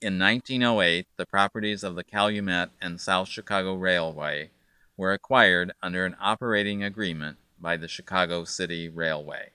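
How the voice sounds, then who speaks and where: A man narrates a documentary in a low, bright-edged voice, steady and factual. The studio sound is dry, with soft music under him. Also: clear, resonant tone, dry recording. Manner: measured, factual delivery.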